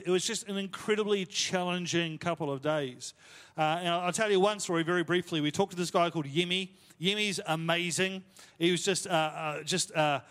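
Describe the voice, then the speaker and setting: Chatty preacher in a reverberant hall. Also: male voice, a little high, a bit bright. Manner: conversational, self-deprecating, lots of fillers. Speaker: pastor. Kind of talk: sermon storytelling.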